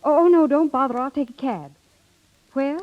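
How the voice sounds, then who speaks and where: An actress playing an innocent, reluctant younger sister, earnest and dryly witty, in a muffled 1930s radio drama. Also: female voice, high-pitched, normal speed, close to an everyday voice. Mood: bright, flustered.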